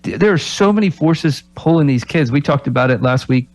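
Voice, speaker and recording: A man's voice: a little high, lively intonation, fairly quick, darkish tone, dry recording, faint music behind. A man who coaches college basketball, co-hosting a radio talk show and speaking reflectively, often ending his points with rhetorical questions. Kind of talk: casual sports radio talk. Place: radio studio.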